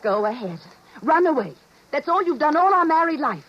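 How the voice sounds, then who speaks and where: A nagging, sharp-tongued wife from 1950s radio drama. Her voice sits a bit high and she sounds forever wronged and accusing. The recording is old and muffled. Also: big pitch swings, moderate pace. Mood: accusing, martyred. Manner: accusing, martyred.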